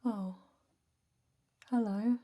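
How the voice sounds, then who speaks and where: Woman as laid-back, flat-toned office interviewer. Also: medium pitch, extremely slow. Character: casual, low-key female office job interviewer. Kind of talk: soft ASMR roleplay.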